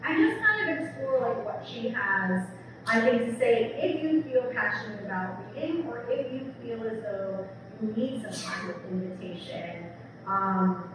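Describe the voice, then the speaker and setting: Woman speaking in a very echoey hall. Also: medium pitch. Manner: conversational, peppered with "like" and "right?", enthusiastic. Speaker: speaker, English professor. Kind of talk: informal public book talk and audience discussion. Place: event room with an audience. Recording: very echoey hall, distant mic, noisy.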